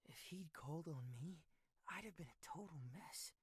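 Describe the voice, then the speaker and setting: A woman playing an easygoing, self-deprecating young student in a visual novel, with a husky voice. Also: low voice, animated, moderate pace, crowd noise. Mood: playfully rattled. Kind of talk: animated visual novel dialogue.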